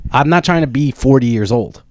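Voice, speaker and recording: A man's voice: medium pitch, fairly quick, dry recording. A man in his thirties co-hosting a podcast, chatting casually and laughing often. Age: 30s. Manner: laughs often, animated storytelling. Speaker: podcast co-host. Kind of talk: casual chat.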